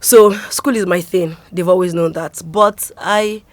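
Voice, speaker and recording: A young adult woman with a Nigerian accent, talking casually as an interview guest and telling stories in an animated way. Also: lowish voice, a little slow, no echo.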